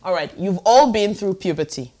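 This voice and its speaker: A woman's voice: medium pitch, expressive, moderate pace, mellow. A woman hosting a casual discussion, asking questions and laughing often. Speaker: podcast host.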